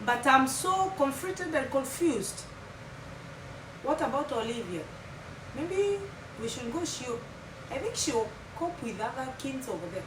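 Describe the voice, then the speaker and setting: A chatty Kenyan woman in her thirties tells her life story to her viewers, her voice sitting slightly high. She is recorded from a distance in a roomy, echoing space. A steady, loud noise runs underneath her talk. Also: a little slow, long pauses. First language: Kimeru. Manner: chatty, talks straight to viewers, drops Swahili phrases. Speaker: vlogger. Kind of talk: casual storytelling vlog. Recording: echoey room from far off, loud background hum.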